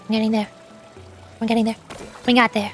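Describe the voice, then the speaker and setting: A woman's voice: fairly high pitch, level tone, fairly quick, over music. A woman streaming a video game, chatting in a playful, cutesy manner as she comments along.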